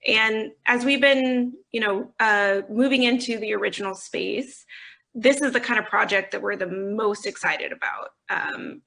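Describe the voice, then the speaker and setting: A woman speaks as an executive on a panel, in a conversational style. Her mid-pitched voice is bright and forward, with plenty of vocal fry. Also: measured pace. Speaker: audio company executive on a panel. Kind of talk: conversational interview answers. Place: remote video call.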